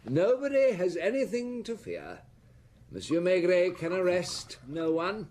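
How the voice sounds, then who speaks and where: An actor playing a debonair, spendthrift count in an audio drama, with a breezy, sardonic and theatrical manner. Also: male voice, high voice, very animated, a little slow, a little mellow. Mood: mocking, commanding. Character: flippant, spendthrift aristocratic count, mocking and debonair. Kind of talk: detective audio drama acting.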